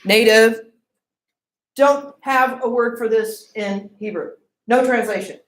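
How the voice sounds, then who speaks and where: Slow-talking woman lecturing in an echoey room. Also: fairly high pitch. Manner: asks the class questions, checks in with "okay?" and "right?". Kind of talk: classroom lecture. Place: classroom.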